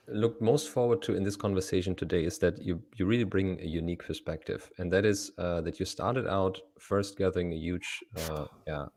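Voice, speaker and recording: Deep-voiced man hosting, dry close sound. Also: darkish tone, no echo. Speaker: podcast host. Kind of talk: measured interview talk.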